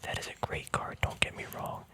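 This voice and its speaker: A man whispers throughout, with no real voice behind the breath. He chats in a relaxed, informal ASMR manner, rambling softly as he handles trading cards. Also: a little fast. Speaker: ASMR card game vlogger.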